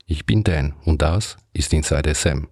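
A man in his fifties from southern Switzerland, Italian by mother tongue, whose German carries a clear Italian accent. His voice sits low and mellow with a husky edge. He hosts his own podcast alone, frank and conversational. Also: moderate pace. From Ticino, Switzerland. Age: 50s. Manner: calm, candid, conversational with small hesitations. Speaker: podcast host. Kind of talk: solo podcast monologue.

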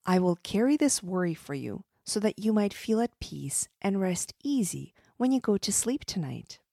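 Woman doing a soft-spoken kind princess. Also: medium pitch, very animated, moderate pace, vocal fry, dry recording, studio quality. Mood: tender. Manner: sweet and soft, tender. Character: kind wise young princess, gentle.

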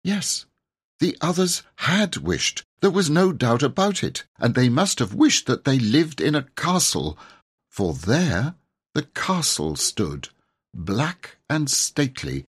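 A man narrating a children's storybook expressively, with measured, dramatic emphasis. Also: medium pitch, husky. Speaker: audiobook narrator.

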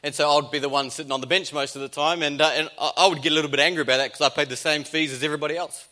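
A man's voice: a little high, fairly even intonation, rapid-fire, bright, forceful tone. A man with a New Zealand accent, a pastor giving a conversational sermon with jokes and emphatic repetition.

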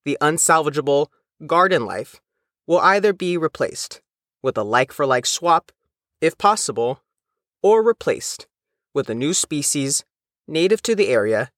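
A man reading a scripted news feature as the reporter, in a measured, careful way. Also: fairly high pitch, expressive, fairly bright tone, no room sound, clean studio sound. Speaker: reporter and narrator.

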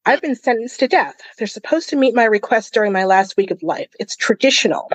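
A woman's voice: on the high side, animated, fairly quick, breathy edge, no echo, poor audio. A woman voicing a sardonic, defiant young female prisoner, a snappish master forger in a fantasy storybook. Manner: growling, snappish.

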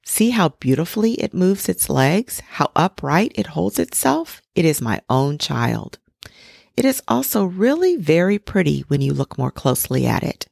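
A woman voicing a mother duck who is weary but proud and protective, with a fussing, gently instructive manner. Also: on the low side, big pitch swings, moderate pace, creaky, breathy edge, no room sound, studio quality, pitched above the performer's own voice, full character voice. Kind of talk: storybook reading.

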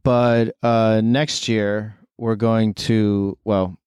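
Smooth low-voiced man, chatty. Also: level tone, very slow, a little mellow, no room sound. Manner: enthusiastic, chatty, with fillers. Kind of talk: casual podcast chat.

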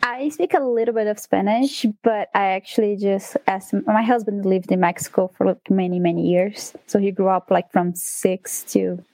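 A woman's voice: medium pitch, fairly even intonation, normal speed, hardly any pauses, warm tone, dry recording, poor audio. A young woman from Brazil with a Brazilian Portuguese accent, chatting casually as a guest author with lots of fillers and laughs. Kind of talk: casual interview chat.

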